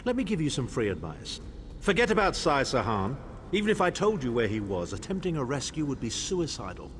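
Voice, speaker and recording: A man's voice: medium pitch, sing-song, a little fast, slight background noise, natural voice. An actor playing a pompous, scornful older male imperial chancellor in a video game, with a haughty, theatrical, condescending manner. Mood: smug, mocking. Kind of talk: video game character acting.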